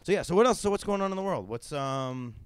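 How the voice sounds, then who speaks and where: A man's voice: fairly high pitch, lively intonation, quick pace, crowd noise. A man in his thirties hosting a casual podcast chat in a hotel room, rambling with lots of fillers and restarts. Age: 30s. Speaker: podcast host.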